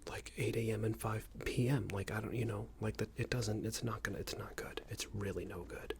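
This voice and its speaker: Guy doing a smug boss asmr roleplay, whispery gravelly murmur, talks down to you, kinda noisy recording. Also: steady tone, fairly quick, close to an everyday voice. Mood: condescending, disapproving. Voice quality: breathy soft whisper with low creaky murmur. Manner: hesitant, condescending, meandering reprimand. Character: smug, unreasonable male boss scolding an employee, passive-aggressive.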